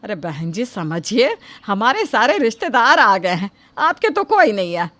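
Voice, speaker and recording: Wary groom's mother, played by a woman, lively pitch. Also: unhurried. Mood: placating. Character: wary, placating middle-aged mother of a groom. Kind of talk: dramatized Hindi moral story acting.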